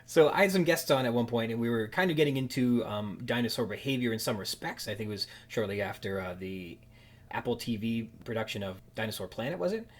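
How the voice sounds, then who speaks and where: A man with a husky, slightly rough voice hosts a podcast interview. He talks in a conversational way, halting and thoughtful, working through long sentences and repeating words as he goes. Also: medium pitch, lively intonation. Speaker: podcast host.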